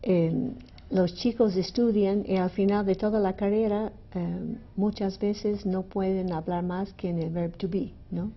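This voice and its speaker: A woman's voice: medium pitch, fairly even intonation, measured pace, highs cut off. A middle-aged woman from the United States, a native English speaker with an American accent, answering conversationally as a radio interview guest. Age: 50s or older. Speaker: university language department director, interview guest. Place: radio studio.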